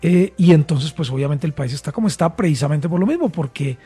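A man's voice: on the high side, lively intonation, quick pace, warm tone, breathy edge, soft background music. A man from Colombia with a Colombian accent, a former minister arguing as a candidate in a debate, emphatic and repetitive. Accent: Colombian Spanish. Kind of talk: political debate.

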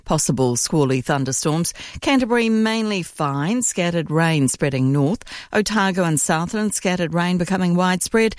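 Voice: medium pitch, moderate pace, few pauses, no echo, studio quality. A speaker reading a regional weather forecast on the radio. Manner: even, read forecast.

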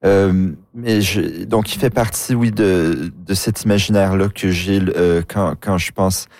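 A young man from northern Ontario, a panelist on a radio show, speaking in a reflective, steady way. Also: low voice, unhurried, no room sound, studio quality. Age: young adult.

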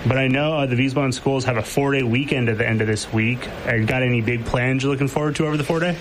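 A man's voice: mid-range voice, quick pace, a little hiss or hum, rough recording. Easygoing man hosting a radio chat. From Mexico. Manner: easygoing, chatty, many fillers. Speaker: radio host. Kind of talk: casual radio interview.

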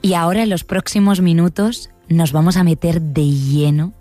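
A woman hosting a radio program in a measured presenting style. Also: on the low side, fairly even intonation, dark tone, no echo, faint music behind. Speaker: radio host.

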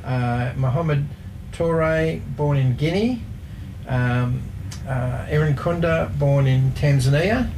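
Male co-host, gravelly texture, hesitant delivery full of fillers, noisy background. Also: on the high side, slow. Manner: hesitant, many ums, reads off notes. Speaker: co-host presenting football trivia. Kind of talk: casual sports chat.

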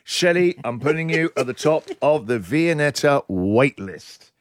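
A man hosting a breakfast radio show, talking in an excitable, emphatic, rambling way with lively banter. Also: fairly high pitch, a little slow, no echo. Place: radio studio.

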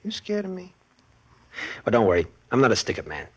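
A man's voice: mid-range voice, huge pitch swings, a little fast, slightly rough. An actor playing a slangy, evasive young con man on the run, in 1940s radio drama style. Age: young adult.

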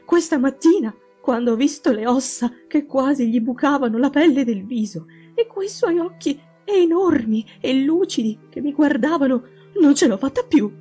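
A woman's voice: sits high, animated, normal speed, mellow, faint music behind, voice pushed up. A woman voicing an anxious, deferential housekeeper in an audiobook, urgent and distressed. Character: anxious, deferential female housekeeper pleading for help.

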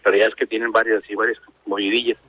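A man in his fifties, a paramedic calling in to a radio show, chatting casually and telling stories with slangy, quick speech. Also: fairly low pitch, little pitch movement, bright, forceful tone, dry recording. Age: 50s. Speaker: paramedic caller. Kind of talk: casual phone chat. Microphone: phone. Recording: dull lo-fi phone line.

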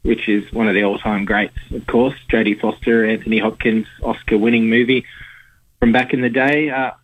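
A man's voice: lowish voice, level tone, normal speed, husky, phone-quality sound. A man with an Australian accent and a sore throat, chatting casually as a radio film reviewer, rambling with fillers. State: sore throat, slightly hoarse.

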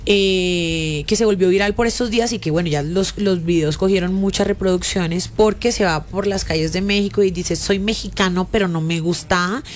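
A woman's voice: on the low side, normal speed, few pauses. A Colombian woman hosting a casual radio chat, speaking informally and engaging co-hosts and listeners in colloquial Spanish. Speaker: radio show host. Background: music in the background.

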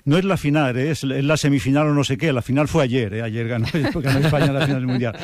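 A man's voice: medium pitch, fast talker, darkish tone, no echo. A man from giving wry, emphatic opinions as a radio commentator.

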